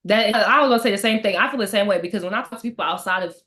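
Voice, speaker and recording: A woman's voice: mid-range voice, racing, a bit bright. Texan woman, casual book chat, emphatic, lots of rhetorical questions. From Texas. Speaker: book discussion guest.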